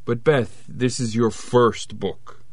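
An actor playing a cautious, condescending male publishing editor in an audio drama, officious and measured. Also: medium pitch, fairly even intonation, darkish tone, slightly rough, no echo. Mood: dismissive.

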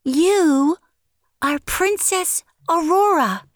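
A woman playing a brisk, practical good fairy aunt who speaks in short, matter-of-fact lines. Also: very high voice, dry recording, clean studio sound, exaggerated voice. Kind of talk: audio drama acting.

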